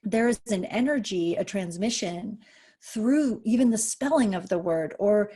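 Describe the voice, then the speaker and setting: A woman's voice: mid-range voice, a little slow, mellow, breathy edge. Reflective woman musing aloud. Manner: musing, reflective, loose trailing phrases. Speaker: panelist. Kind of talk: casual group discussion.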